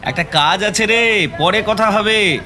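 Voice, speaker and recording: An actor playing a kindly, easygoing middle-aged neighbourhood bachelor in an audio drama, speaking colloquially with breezy earnestness. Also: male voice, high-pitched, slow pace, bright, forceful tone, exaggerated voice. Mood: hurried, friendly. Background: background music.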